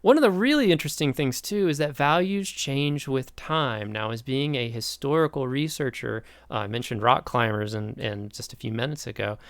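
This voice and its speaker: Sociology lecturer, male, 30s, Midwestern accent, mid-pitched voice, keen and conversational. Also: normal speed, few pauses, no room sound, clean studio sound. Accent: Midwestern American. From East Tennessee, Appalachia. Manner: enthusiastic, chatty, asks the listener questions. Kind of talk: recorded online lecture.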